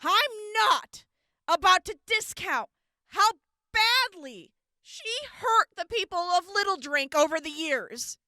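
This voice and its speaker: A woman playing a gentle, earnest young wasteland medic giving courtroom testimony in an audio drama, speaking deliberately with weighty pauses. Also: very high voice, big pitch swings, dry recording, clean studio sound, full character voice. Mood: sorrowful, candid.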